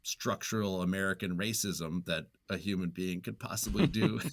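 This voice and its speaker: A man's voice: low-pitched, moderate pace, creaky, no room sound. A man speaking as an interview guest in casual conversation, searching for his words and chuckling now and then. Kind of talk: casual book-recommendation chat.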